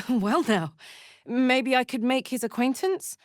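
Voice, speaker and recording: An actress playing a brash, warm-hearted, battle-hardened tiefling barbarian woman in a video game, blunt, cheeky and earnest. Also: high voice, animated, takes it slowly, dry recording, studio quality. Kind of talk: scripted game character voice lines.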